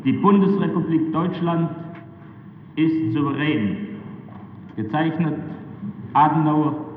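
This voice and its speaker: A man's voice: fairly high pitch, slow pace, slight background noise. A man reading out a solemn official proclamation in an old archive recording. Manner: solemn, drawn out. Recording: echoey distant hall, dull archive sound.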